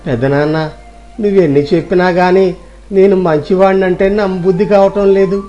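A gloomy young village nephew, acted by a man, plaintive and full of self-doubt. The voice is very high for a male and darkly mellow. The story recording is dull, with faint music behind. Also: steady tone, fairly quick, close to an everyday voice. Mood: self-pitying. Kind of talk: Telugu moral story audio drama.

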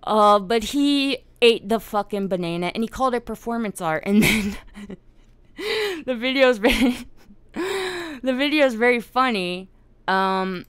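A woman's voice: a little high, very animated, measured pace, a bit bright, clean tone, no echo. A woman hosting a casual live-streamed discussion, swearing often and laughing as she tells the story. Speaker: podcast host.

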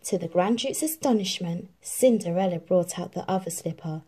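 A woman reading a children's storybook aloud in an expressive, animated narrating style. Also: on the low side, moderate pace, slightly rough. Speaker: storybook reader.

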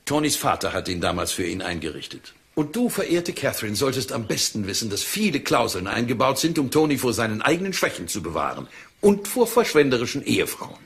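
An actor playing a haughty, sardonic older uncle in a German crime drama, with a creaky voice and precise, condescending manner. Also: male voice, medium pitch, sing-song, a little fast, a bit bright. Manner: precise, condescending, dryly needling. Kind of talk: German dubbed TV crime drama as radio play.

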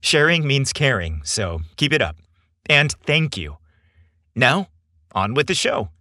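A man hosting a story podcast, reading scripted narration with theatrical, deliberate phrasing. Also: mid-range voice, sing-song, sharp tone, no echo, clean studio sound.

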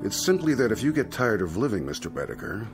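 A silky, devilish dealmaker in a radio play. His lowish voice swoops expressively through formal, unctuous phrases, with music strong behind him. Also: very animated, quick pace. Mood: silky, explaining. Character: suave, devilish male dealmaker, slyly courteous. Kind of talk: radio drama acting.

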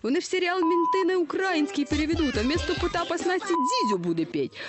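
A man's voice: very high voice, animated, fast, voice pushed up. A man voicing a sinister bearded old Father Frost in a cartoon tale, bossy, colloquial and scaremongering. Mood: alarmist. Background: music.

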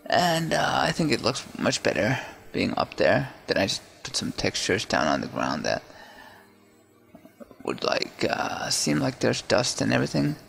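A man casually narrating his own digital painting in a relaxed, hesitant way, full of fillers and restarts, over faint music. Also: mid-range voice, unhurried, creaky.

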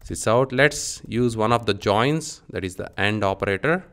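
Deep-voiced man teaching programming step by step. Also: normal speed, fairly bright tone. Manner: instructional, clipped short phrases. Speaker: programming instructor. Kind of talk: step-by-step software tutorial, dictating as typing.

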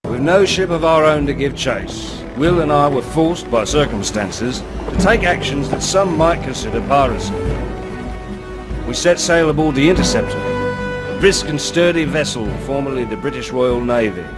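An actor voicing a cocky, sly pirate rogue in a video game, glib and drawling, with mock-grand storytelling. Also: male voice, medium pitch, lively intonation, normal speed, natural voice, poor audio. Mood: boastful storytelling. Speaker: narrator and lead character. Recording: music under the voice.

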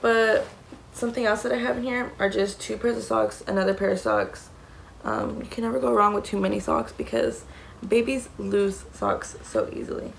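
A young woman with a raspy voice, chatting casually as she shows the contents of a bag to the camera in a small room, rambling with many fillers. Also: mid-range voice, expressive, moderate pace, a little hiss or hum, rough recording. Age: young adult. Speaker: mom vlogger. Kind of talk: casual show-and-tell vlog. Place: small indoor room against a plain wall.

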